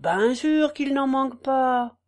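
A woman's voice: high-pitched, slow pace, voice pushed up. A woman voicing a talkative middle-aged peasant farm wife with emphatic, rustic country speech. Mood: searching.

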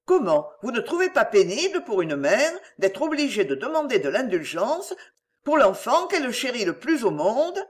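A woman's voice: a little high, very animated, moderate pace. A woman voicing a self-pitying, scheming middle-aged mother in an audiobook, plaintive, melodramatic and wheedling. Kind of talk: acted audiobook dialogue.

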